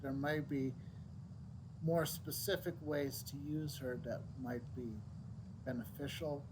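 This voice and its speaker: A male game streamer chats casually in a mid-pitched voice. He is hesitant and thinks aloud, with clear noise and game ambience behind him. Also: fairly even intonation, slow pace. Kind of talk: casual live gameplay commentary.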